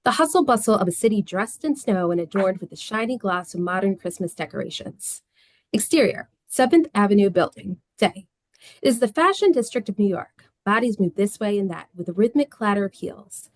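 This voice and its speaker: A woman's voice: medium pitch, animated, brisk, no echo. A woman reading scripted screenplay narration and scene directions on an online table read. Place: online video call.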